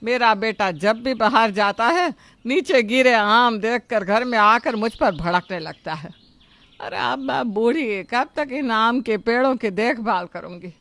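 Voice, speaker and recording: A woman playing an old, grumbling, sharp-tongued mother-in-law in a moral story, nagging and complaining. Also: on the high side, lively intonation, measured pace, no room sound.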